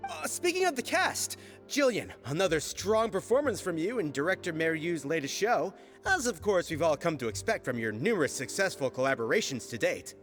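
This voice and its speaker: An actor playing an eager, pressing entertainment reporter in a video game, with a polished delivery and a long reverberant tail. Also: male voice, a little high, very animated, brisk, few pauses, soft background music. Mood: flattering.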